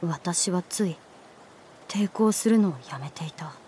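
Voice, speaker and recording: A woman playing a guarded, sullen middle-school runaway girl in a Japanese audio drama, curt in speech with moody, breathy murmured asides. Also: lowish voice, unhurried, mellow, slight background noise, close to an everyday voice. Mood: wistful, introspective. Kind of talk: Japanese audio drama acting with introspective narration.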